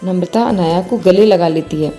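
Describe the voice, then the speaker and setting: A woman's voice: on the low side, moderate pace, dark tone, soft background music. A woman narrating a scripted bedtime moral story.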